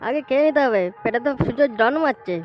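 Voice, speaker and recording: Cheeky village sidekick, male , played by a woman, high voice, slangy comedy chatter, slightly muffled over music. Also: fast talker, close to an everyday voice. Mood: excited. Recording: dull lo-fi sound, music behind.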